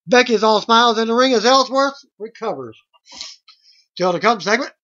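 A middle-aged man reading out a wrestling results recap as host, in a halting way, on a webcam mic at home. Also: very high voice, bright, forceful tone. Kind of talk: read-out play-by-play results recap. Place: small room at home, indoors. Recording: dull lo-fi webcam audio.

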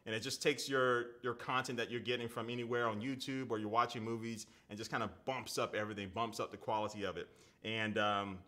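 A man in his thirties hosts a relaxed tech live stream from a desk studio at home. His voice is bright and forward, with a mid-range pitch. He talks loosely, fills his speech with "like" and "man", and answers chat as he goes. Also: brisk. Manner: chatty, loose, lots of "like" and "man", reads viewer comments aloud. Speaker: tech reviewer.

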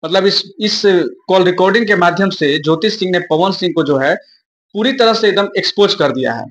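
A man's voice: high voice, fairly quick, a bit bright, lo-fi sound. A young man giving informal news commentary to viewers in an emphatic, repetitive explaining manner. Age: young adult.

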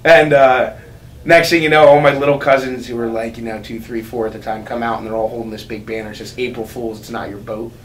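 A man's voice: mid-range voice, fairly even intonation, fast talker. A man in his twenties telling casual, rambling fishing-buddy anecdotes with crude humor in a laid-back way. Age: 20s. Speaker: interviewee, recreational angler. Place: indoors against dark backdrop. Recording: echoey room, faint background noise.

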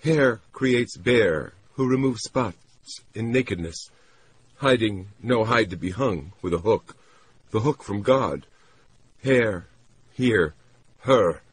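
A man's voice: medium pitch, animated, slight background noise, voice pushed up, natural voice. A man voicing a distraught, dazed woman who speaks in disjointed riddles, in a dramatic audiobook reading. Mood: dazed, confused. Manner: slow, painstaking, disjointed speech.

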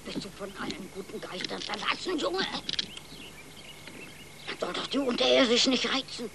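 An actor playing a superstitious, fretful farm worker in a family film dub, creaky and admonishing. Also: male voice, high voice, very animated, moderate pace, background noise, full character voice. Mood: alarmed, warning. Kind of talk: dubbed family film acting.

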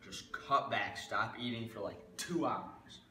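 Man acting a casual high schooler in echoey halls. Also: slow pace. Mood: bantering. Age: young adult. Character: self-absorbed high school student torn between bickering inner voices. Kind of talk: amateur student comedy skit acting. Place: school stairwell, hallway and gym. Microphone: camera mic at a distance. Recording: echoey school halls, distant mic, loud room noise.

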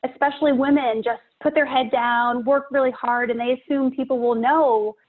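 A woman panelist giving practical career advice on a webinar, steady and direct. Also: sits high, moderate pace. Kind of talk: panel discussion answer. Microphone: webinar video call. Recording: tinny phone-like call audio.

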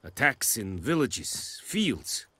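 A man playing a stern foreign army commander in a video game, speaking English as a second language in a formal, measured way. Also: mid-range voice, very animated, fairly bright tone, slightly rough, no echo, heavily acted. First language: not English. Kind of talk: video game dialogue acting.

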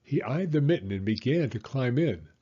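A man reading a children's picture book aloud as a storyteller, in an expressive, deliberate manner. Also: mid-range voice, breathy edge, dull audio.

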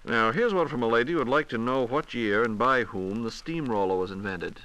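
A man's voice: medium pitch, brisk, fairly bright tone, low-quality recording. An American man hosting a scripted 1940s radio program in a polite, orderly announcer's manner. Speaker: radio host. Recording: 1940s radio transcription disc.

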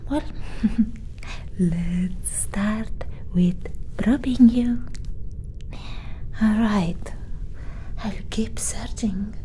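Greedy, mistrustful alien smuggler woman played by an ASMR artist, breathy whisper, very slow, mellow, faint hiss behind. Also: medium pitch. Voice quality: soft whisper. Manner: teasing, drawn-out, with murmured hums and little laughs. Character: greedy, distrustful female alien smuggler, mercenary and teasing. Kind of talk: ASMR roleplay.

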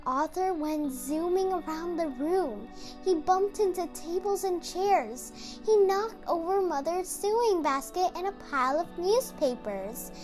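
Casting: female storybook reader, high and animated, for children's read-aloud. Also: a little slow, soft background music.

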